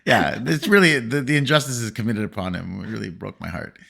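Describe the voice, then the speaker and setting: Man with a lowish voice, native Farsi speaker. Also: fairly quick, gravelly. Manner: animated, laughing, self-correcting. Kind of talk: casual film discussion.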